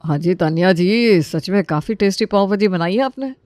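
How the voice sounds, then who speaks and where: High-voiced, good-natured family man. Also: expressive, normal speed, a little mellow, no echo. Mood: appreciative.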